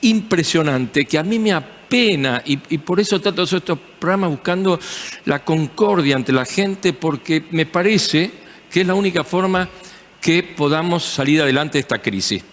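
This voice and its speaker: A man's voice: on the high side, lively intonation, moderate pace, fairly bright tone, long reverb tail. A man with an Argentine accent hosting a TV panel discussion, talking in an emphatic, agreeable way. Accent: Argentine Spanish. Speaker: TV host.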